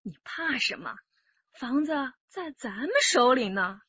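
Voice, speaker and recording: Breezy young-at-heart wife for radio drama, lively high voice, slightly dull recording. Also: female voice, unhurried, breathy edge, dry recording, full character voice. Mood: bold, dismissive. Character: bold, chatty wife selling the couple's flat.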